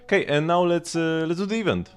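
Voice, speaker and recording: A man's voice: on the high side, quick pace. A man live streaming a video game, chatting casually and informally as he rambles along and answers viewers. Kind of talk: casual gaming stream chat. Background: game music.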